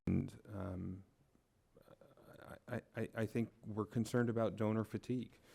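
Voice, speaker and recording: A man with a very low, gravelly voice talks at a town board meeting. He keeps an even, flat tone and chooses his words with care, pausing on fillers. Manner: measured, careful, some fillers. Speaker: board member. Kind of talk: public meeting discussion.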